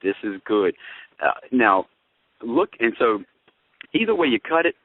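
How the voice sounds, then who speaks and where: A man's voice: medium pitch, big pitch swings, measured pace, a little hiss or hum. A man co-hosting an astrology talk, explaining things in a folksy, conversational way and often checking in with listeners. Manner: explanatory, folksy, addresses listeners with tag questions. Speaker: co-host, astrologer. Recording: tinny, phone-like radio-show audio.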